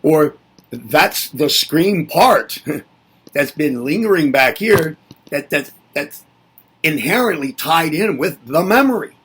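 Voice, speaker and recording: A man's voice: a little high, animated, takes it slowly, fairly bright tone, husky. A man giving a reflective spoken lesson, musing aloud and asking himself rhetorical questions before answering them. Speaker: presenter.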